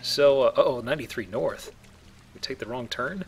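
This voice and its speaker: A man's voice: medium pitch, moderate pace, faint music behind. Wry man joking through game commentary. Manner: wry, acts out mock quotes. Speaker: video game let's play host.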